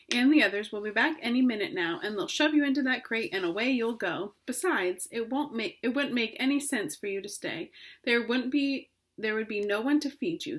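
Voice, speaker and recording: A woman reads as a wise female spider, calm and tender even when frail. She speaks in a measured, quietly firm voice set a little high. A gentle storybook figure.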